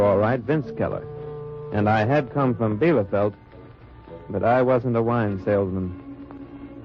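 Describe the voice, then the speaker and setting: A man's voice: fairly low pitch, lightly acted. An actor playing an American spy posing as a German salesman, narrating in terse hard-boiled first person in a 1940s radio drama. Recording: muffled old radio recording with music.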